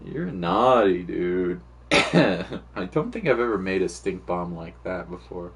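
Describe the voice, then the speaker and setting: A man's voice: sits low, lively intonation, slow pace. A man streaming a game, chatting casually in a rambling, teasing, slangy way. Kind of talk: casual chat while playing.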